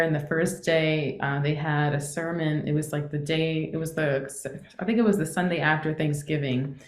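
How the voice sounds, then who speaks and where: American woman, congregation member sharing her story casually; very low, fairly even pitch, roomy echo. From East Coast United States. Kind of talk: casual personal testimony.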